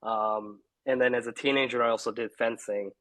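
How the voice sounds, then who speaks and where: A man's voice: medium pitch, fairly even intonation, a little fast, a bit bright. A man being interviewed about his books in a casual video chat, easygoing and prone to restarts and asides. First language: English. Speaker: author guest.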